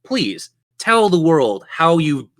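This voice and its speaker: A man's voice: fairly high pitch, big pitch swings, slow pace, a bit bright. A man narrating a tabletop fantasy game as game master, with vivid, playful descriptions.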